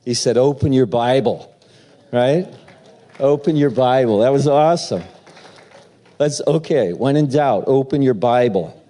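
Male pastor, slow and warm-toned, halting delivery with fillers; preaching and reading scripture. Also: medium pitch. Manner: halting, filler-heavy, then steady reading.

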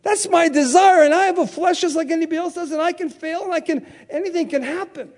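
High-voiced emphatic older male preacher. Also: fast talker, darkish tone. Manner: call-and-response, emphatic repetition. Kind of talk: sermon.

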